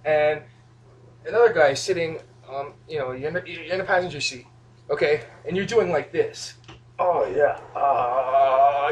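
Young male home cook, goofy and chatty, talking to a camera across a small kitchen with faint background noise. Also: fairly high pitch. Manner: goofy, rambling, talks to camera. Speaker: home cooking vlogger. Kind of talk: casual cooking demo, joking asides. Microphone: camera mic from a few feet away.

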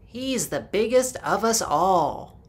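Woman putting on a nervous squeaky billy goat. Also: lively intonation, slow pace. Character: middle-sized nervous male billy goat.

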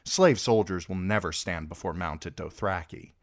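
A man voicing a young queen who gives battle orders in a measured, commanding way. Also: low voice, no room sound, studio quality.

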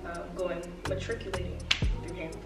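A woman's voice: fairly low pitch, flat delivery, normal speed. A young woman from Texas, a college student, reflecting in a rambling way during a group discussion. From Killeen, Texas. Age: young adult. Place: lounge with students on sofas. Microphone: far camera mic. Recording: echoey room from far off, with music.

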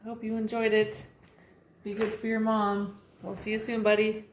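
A woman's voice: mid-range voice, completely flat delivery, moderate pace, slight background noise. Woman hosting a children's read-aloud.

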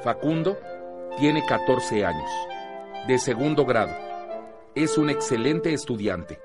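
A man with a Mexican accent playing a patient teacher who narrates a radio drama in a measured, didactic way. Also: medium pitch, lively intonation, loud music behind the voice. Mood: explanatory. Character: patient male teacher narrating his teenage students' stories.

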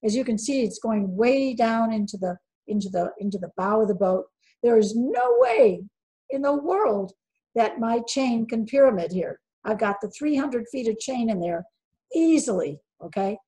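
A woman's voice: fairly high pitch, animated, moderate pace, mellow, poor audio. An older woman presenting a sailing webinar over a video call, emphatic and quizzing her audience, often repeating key words for stress.

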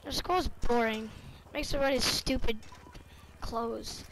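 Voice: mid-range voice, steady tone, measured pace, noisy background. A young woman chatting casually in an improvised online game roleplay.

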